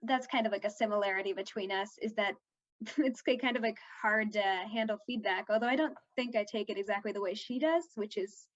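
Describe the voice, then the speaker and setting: A woman's voice: mid-range voice, a little fast, lo-fi sound. A fourteen-year-old girl chatting casually as an interviewed young actress, her talk peppered with "like".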